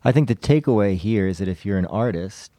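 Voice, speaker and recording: A man's voice: on the low side, lively intonation, a little fast, mellow, no echo. A man chatting casually as a podcast panelist, telling anecdotes in a conversational, self-deprecating way.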